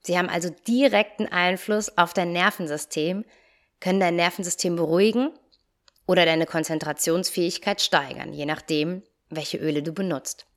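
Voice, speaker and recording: Calm woman podcaster, slightly stuffy. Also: lowish voice, normal speed. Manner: calm, friendly direct address. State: slightly stuffy nose from a cold. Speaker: podcast host, yoga teacher and coach. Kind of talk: solo podcast talk.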